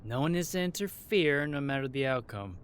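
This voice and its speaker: An actor playing a cheeky mob referee in an audio drama, with a showy, flippant announcer's delivery. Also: male voice, medium pitch, animated, a little fast, a little hiss or hum, close to an everyday voice. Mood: gleeful, flippant. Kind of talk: audio roleplay drama acting.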